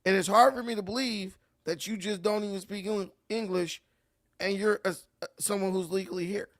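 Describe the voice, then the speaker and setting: Dude ranting on his commentary stream, kinda high voice, super dry sound. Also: steady tone, a little fast, no echo. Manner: ranting, repeats words while building a point. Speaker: commentary host. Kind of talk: casual reaction commentary.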